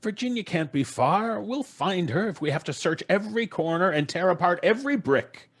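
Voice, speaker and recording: A man's voice: high voice, lively intonation, normal speed. A middle-aged man playing a flustered, bossy American father, stammering and blustery, in old-time radio drama style. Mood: commanding. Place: small home studio. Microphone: close studio microphone.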